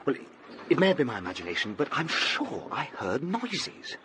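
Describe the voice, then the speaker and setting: An actor playing an anxious, impatient young heir in a radio drama, with a British accent and a brusque manner that turns hesitant. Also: male voice, mid-range voice, huge pitch swings, moderate pace, background noise. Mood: nervous, hesitant. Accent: British English.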